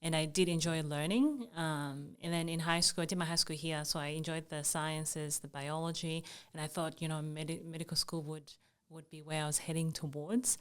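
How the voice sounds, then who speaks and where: A young adult woman from Zambia, a guest in an interview, speaking thoughtfully with frequent ums and soft laughs. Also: low-pitched, steady tone, moderate pace, clean tone. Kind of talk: casual conversational interview.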